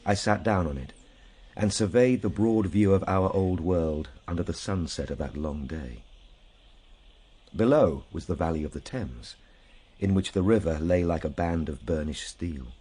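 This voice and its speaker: An actor playing a reflective gentleman traveller, narrating his journey in a measured, literary, solemn manner for a radio drama. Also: low-pitched, breathy edge. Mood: solemn wonder.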